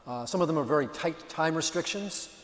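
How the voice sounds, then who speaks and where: Calm man explaining in an echoey hall. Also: fairly high pitch, fairly quick. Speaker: panelist answering a legal question. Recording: echoey hall with long reverb.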